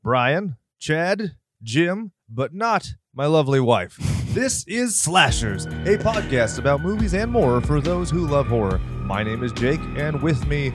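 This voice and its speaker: A man hosting a horror movie podcast, casual and jokey, full of quick playful asides. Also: a little high, big pitch swings, music in the background. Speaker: podcast host.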